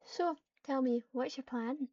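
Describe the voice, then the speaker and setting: A woman's voice: sits high, measured pace, warm tone, no room sound. A woman casually voicing online game roleplay, reading out narration and dialogue lines. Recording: dull mic, distant, clear background noise.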